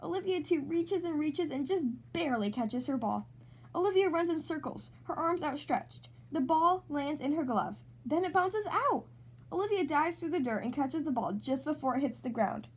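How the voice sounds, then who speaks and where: A woman reading a children's storybook aloud as host, in an expressive, animated narrating style. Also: high-pitched, moderate pace. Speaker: storybook reader, podcast host.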